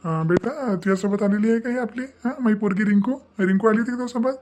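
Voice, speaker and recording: A worried village father in a cartoon comedy acted by a man. He talks quickly in a fretful, evasive way, with a rural Maharashtrian accent. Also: sits high, a little mellow, lightly acted. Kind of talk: Marathi comedy cartoon dialogue.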